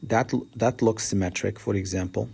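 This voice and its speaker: Man, husky voice, math teacher, lecture pace, stresses key words. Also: steady tone, moderate pace, darkish tone. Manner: explanatory, rises in pitch to stress key words. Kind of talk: recorded statistics lecture.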